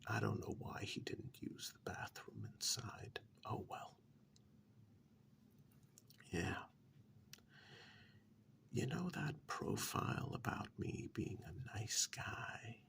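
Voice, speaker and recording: A man acting in a soft-spoken ASMR role-play as a sardonic, pampered captive in a dystopia, taunting and condescending in a breathy near-whisper with deliberate pauses. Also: fairly low pitch, very animated, full character voice. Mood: cool, quietly threatening.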